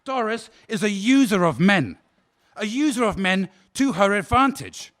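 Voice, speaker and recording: A man's voice: sits high, very animated, a little slow, fairly bright tone, heavily acted. A man acting a 1940s court barrister, cross-examining in a pointed, accusing way. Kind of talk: radio drama acting.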